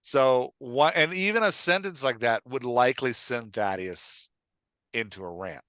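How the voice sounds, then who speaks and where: A man hosting and coaching in an animated, conversational way, emphatic and often checking that he is being understood. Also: medium pitch, measured pace, no room sound. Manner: acts out short example lines of dialogue. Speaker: podcast host and writing coach.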